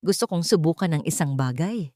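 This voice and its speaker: A woman's voice: sits low, expressive, fairly quick, warm tone, no echo, clean studio sound. A woman with a Filipino accent voicing a determined, wronged young mother in an audio drama. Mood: resolute.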